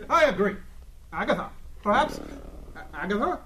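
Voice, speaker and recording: A man acting a bombastic gentleman adventurer in a comic radio play, telling his story in a pompous, grandiose way. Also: sits high, big pitch swings, moderate pace, background noise. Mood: musing.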